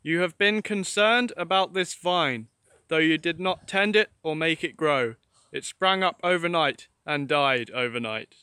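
A man gives voice to a calm divine figure who asks measured questions. He speaks slowly, with a bright, smooth tone. The sound is dry and close. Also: on the high side, no room sound. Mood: solemn.

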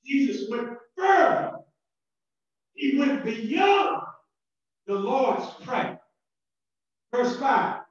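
A Southern American pastor preaches slowly, climbing into shouted emphasis and doubling his words as he builds. His voice sits high for a man. The recording is distant and muffled, and it is swamped by heavy church echo. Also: sing-song. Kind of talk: sermon. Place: church sanctuary.

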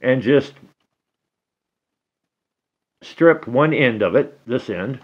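A middle-aged man giving a casual hands-on repair tutorial at a table indoors, talking slowly and haltingly, half to himself as he works. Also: medium pitch, animated, slightly rough, dull audio. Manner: slow, halting, talks to himself while working. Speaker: DIY hobbyist demonstrating a repair.

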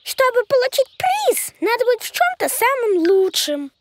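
A woman's voice: very high-pitched, sing-song, a little slow, a little hiss or hum, exaggerated voice. A woman voicing a little childlike creature in a children's cartoon, a kindly know-it-all pupil who explains in a didactic, sing-song way. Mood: explaining. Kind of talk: animated children's cartoon voice acting.

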